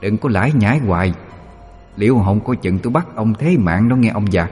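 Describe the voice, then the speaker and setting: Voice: mid-range voice, animated, fairly quick, darkish tone, natural voice. A man voicing a sly, whining old river ghost with a Southern Vietnamese accent. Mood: sly defiant. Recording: reverberant, faint background music.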